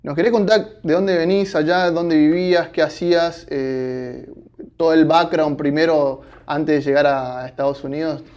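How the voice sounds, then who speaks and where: A man with an Argentine Spanish accent hosts a relaxed interview in an office. His voice sits a little higher than most men's, and his questions come out friendly and upbeat. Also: moderate pace, muffled recording. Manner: friendly, upbeat, uses voseo. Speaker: interviewer, vlog host. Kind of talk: casual interview chat.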